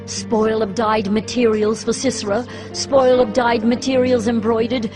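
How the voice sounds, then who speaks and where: A woman's voice: on the high side, little pitch movement, a little slow, lightly acted. A woman playing a solemn, mocking ancient singer of a victory song in a dramatized scripture reading, declaiming poetically. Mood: mocking, dramatic. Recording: loud music under the voice. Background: prominent music.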